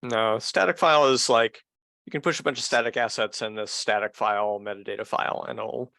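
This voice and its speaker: A man's voice: mid-range voice, fairly even intonation, a little fast, gravelly, bright, forceful tone, no echo. A man in his thirties giving a casual technical explanation over a headset on a video call. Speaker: software engineer on a working group call. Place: room at home, video call.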